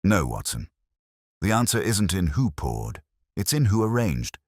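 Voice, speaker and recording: Man voicing a very deep, commanding detective. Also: big pitch swings, darkish tone, no room sound, clean studio sound, heavily acted. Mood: probing. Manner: precise, deliberate, probing.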